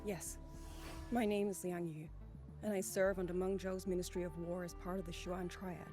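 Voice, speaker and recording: Young female soldier, played by an actress, video game. Lowish voice, grave, measured, dutiful. Music underneath. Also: flat delivery, close to an everyday voice. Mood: grave, urgent. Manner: formal, grave, measured delivery. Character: earnest young woman soldier, last survivor of her squad. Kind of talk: dramatic video game voice acting.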